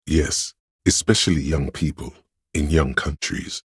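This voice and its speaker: A man voicing a pedantic inner voice of encyclopedic knowledge in a game, narrating lore in a measured, learned, didactic way. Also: rumbling low, slightly rough, no room sound, studio quality, full character voice. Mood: informative. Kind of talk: scripted narration, delivering trivia.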